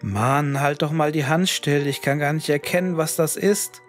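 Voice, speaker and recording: A man's voice: on the high side, normal speed, soft background music, pitched above the performer's own voice, close to an everyday voice. Male narrator as sardonic twenties roommate, grumbling and teasing, audio horror tale. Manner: grumpy, teasing. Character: grumbling, sarcastic fit young man, mid-twenties.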